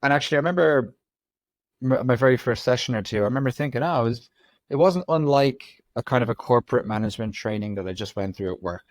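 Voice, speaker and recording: A man in his forties with an Irish accent, from Ireland, telling his story as a podcast guest in a reflective, halting way. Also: medium pitch, animated, no room sound. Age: 40s. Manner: reflective, frequent ums and restarts. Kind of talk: candid conversational storytelling.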